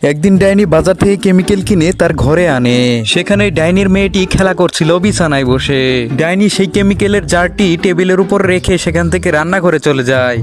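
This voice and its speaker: A man's voice: high-pitched, moderate pace. A man narrating scripted children's cartoon stories. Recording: music behind the voice.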